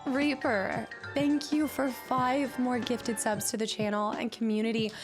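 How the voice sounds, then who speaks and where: A chatty woman streaming from her apartment and greeting her chat, slightly hoarse as she recovers from laryngitis. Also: on the high side, steady tone, unhurried. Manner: chatty and upbeat, rattles off viewer names. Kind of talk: casual live-stream chat. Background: faint background music.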